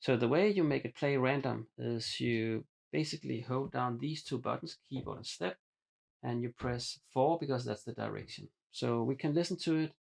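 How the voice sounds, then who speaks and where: A man walking through a synthesizer patch in a home studio, talking in a relaxed, halting way with frequent ums and uhs. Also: medium pitch, gravelly, slightly rough. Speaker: synthesizer demonstrator. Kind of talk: hands-on patch explanation. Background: synthesizer music.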